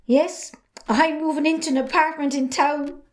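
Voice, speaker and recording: Woman acting a high-voiced, cheeky young Irish daughter. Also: fairly even intonation, normal speed, lightly acted. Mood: frank. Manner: sardonic, outspoken. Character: defiant, cheeky young adult farmer's daughter. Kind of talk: amateur drama dialogue.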